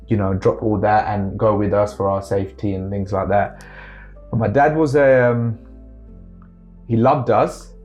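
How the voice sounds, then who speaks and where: A man in his thirties from Sri Lanka, earnestly telling a personal story about fatherhood with frequent pauses and fillers. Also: fairly low pitch, darkish tone. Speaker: father in a discussion.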